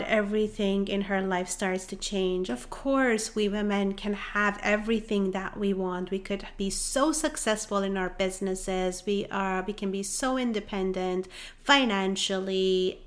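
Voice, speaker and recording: Woman in her 30s, persian accent, coach talking slow and heartfelt, gets all fired up. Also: medium pitch. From Iran. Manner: earnest and encouraging, building to excited emphasis. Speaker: relationship coach. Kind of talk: heartfelt solo podcast monologue.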